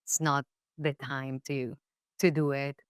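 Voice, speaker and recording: Kobieta o niskim głosie z kolumbijskim akcentem. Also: female voice, very deep voice, little pitch movement, slow, smooth, no echo, clean studio sound. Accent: Colombian Spanish. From Colombia. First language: Spanish. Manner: reflective, many fillers and restarts. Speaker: guest actress. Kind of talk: casual interview chat.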